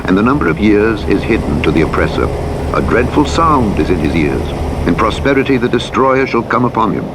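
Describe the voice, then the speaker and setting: A man with a very low voice gives a solemn reading of scripture. His delivery is formal and measured, with a dramatic narrator's weight. Clear truck engine and vehicle noise runs behind him. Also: big pitch swings. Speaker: Bible audiobook narrator. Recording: playback under loud truck engine noise. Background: truck engine and vehicle noise from a driving game.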